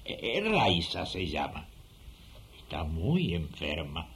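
Humble, eager villager, wildly swooping voice. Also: male voice, deep voice, wildly swooping pitch, takes it slowly, fairly bright tone, exaggerated voice. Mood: earnest. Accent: Rioplatense Spanish. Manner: hesitant, earnest, effusive. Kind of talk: radio drama acting.

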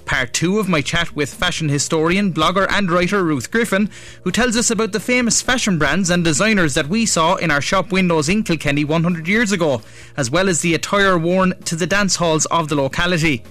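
An Irish man presents a radio programme as its host. His voice sits a little higher than most men's, and he delivers prepared links in a presenter's style. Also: brisk, fairly bright tone, faint music behind. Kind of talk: scripted radio show presenting.

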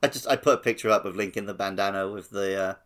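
A man's voice: lowish voice, fairly even intonation, fast talker, fairly bright tone. A man co-hosting a casual gaming podcast, chatting in a jokey, self-deprecating way. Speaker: podcast co-host. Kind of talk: casual chat about a video game.

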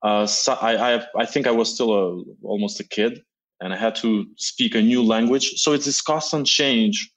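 A man's voice: lowish voice, moderate pace, a bit bright, rough recording. A middle-aged man from Albania, answering interview questions earnestly and often repeating words as he searches for them. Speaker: guest.

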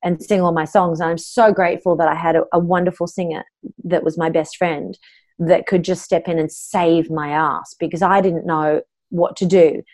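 A woman's voice: fairly low pitch, fairly even intonation, normal speed, darkish tone. A middle-aged woman with an Australian accent, a voice coach telling her own story openly and earnestly in an interview.

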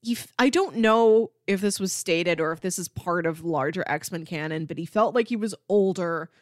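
A woman talking casually as a podcast host, animated and peppering her sentences with "like". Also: fairly low pitch, moderate pace, no echo, clean studio sound. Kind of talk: casual chat about comics.

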